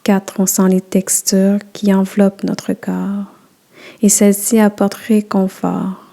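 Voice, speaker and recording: Female relaxation guide, warm mellow tone, gentle soothing delivery, very unhurried. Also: medium pitch, monotone, creaky. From Quebec. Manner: slow, soft, soothing instructions. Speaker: yoga nidra guide.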